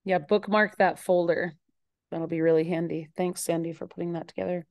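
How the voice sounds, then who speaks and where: A woman with a slightly low-set voice chats informally as she moderates a meeting. Her way of talking is appreciative and encouraging, like a teacher among colleagues. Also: level tone, a little fast, darkish tone. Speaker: session moderator and choir teacher. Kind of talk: casual online meeting chat.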